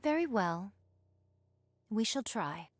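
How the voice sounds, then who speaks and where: A woman's voice: medium pitch, sing-song, takes it slowly, no room sound, clean studio sound. A woman voicing a haughty, genteel lady with lofty, archaic diction in an anime-style game. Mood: concerned, formal.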